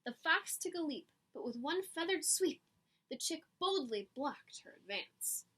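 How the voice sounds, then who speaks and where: A woman's voice: sits high, very animated, unhurried, fairly bright tone. A woman reading a picture book aloud to children with dramatic emphasis and teacherly asides. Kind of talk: expressive read-aloud storytelling with asides to children.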